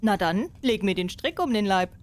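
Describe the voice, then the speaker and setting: A woman's voice: medium pitch, sing-song, normal speed, natural voice. A woman reading the part of a cocky male soldier in a storybook tale, blunt and self-assured.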